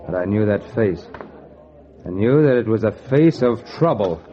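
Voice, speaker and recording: An actor playing a cocky, money-hungry gambler in a 1940s radio noir, with clipped, wry, slangy delivery. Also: male voice, fairly low pitch, animated, normal speed, background noise, lightly acted. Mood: wry, tense. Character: hard-boiled, cocky male gambler, broke and money-hungry. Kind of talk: 1940s radio noir acting, first-person narration. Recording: dull vintage radio recording with music. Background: music.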